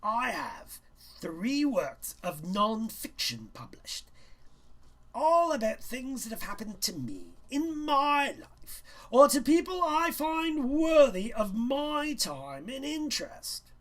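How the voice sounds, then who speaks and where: Man playing a stern male teacher, pompous, condescending, storybook character, swooping high pitch, slow emphatic delivery. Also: huge pitch swings, a bit bright, heavily acted. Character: pompous, stern male schoolteacher and vain writer. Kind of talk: theatrical storybook reading.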